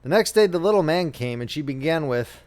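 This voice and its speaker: A man reads as an audiobook narrator in a fairly high male voice. His scripted storytelling is measured and expressive, with very lively swings in pitch.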